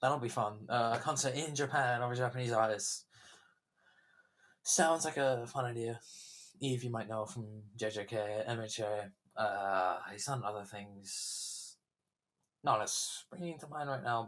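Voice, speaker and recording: A man's voice: mid-range voice, a bit bright. A young man talks loosely on his own podcast, drifting from thought to thought with frequent fillers and restarts. His throat sounds slightly sore as he goes on. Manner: rambling, chatty, many fillers and restarts. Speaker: podcast host. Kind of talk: casual solo podcast chat.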